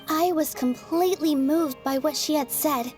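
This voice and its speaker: An actress voicing a young female theater dancer in a video game, speaking in a soft, sincere way. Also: high-pitched, normal speed, faint music behind, natural voice. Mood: reminiscent. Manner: soft, sincere and warm-hearted.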